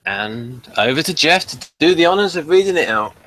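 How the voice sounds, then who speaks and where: A man's voice: a little high, animated, normal speed, a bit bright, low-quality recording. A man hosting a casual review discussion, moderating and moving things along. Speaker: podcast host.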